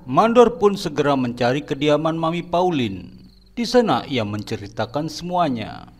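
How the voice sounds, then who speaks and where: A man's voice: a little high, big pitch swings, normal speed. A man giving dramatic, scripted narration for a horror cartoon.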